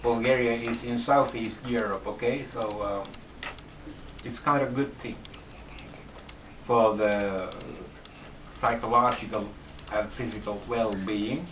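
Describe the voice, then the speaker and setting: Slow-talking Finnish man, distant mic. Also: medium pitch, steady tone, fairly bright tone, slight background noise. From Finland. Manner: slow, halting, with repeated restarts. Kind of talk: casual interview talk. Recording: roomy sound from a distant mic.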